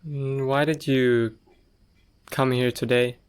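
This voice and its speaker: A man leading a guided meditation, speaking gently and leaving long pauses between short phrases. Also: mid-range voice, level tone, smooth. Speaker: meditation teacher.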